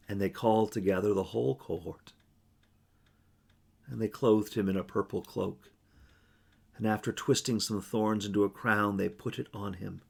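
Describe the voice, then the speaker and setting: A man reading a scripture passage aloud in a measured way. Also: lowish voice, gravelly, slightly rough. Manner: measured reading, rising loudly for quoted mockery. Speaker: scripture reader.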